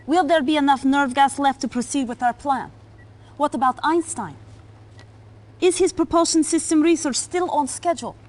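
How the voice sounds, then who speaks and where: An actress playing a stern Soviet intelligence officer in a video game, controlled and clipped. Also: female voice, sits high, moderate pace, darkish tone, close to an everyday voice. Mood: cold, scornful. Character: cold, commanding Soviet female intelligence officer. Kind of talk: video game cutscene acting.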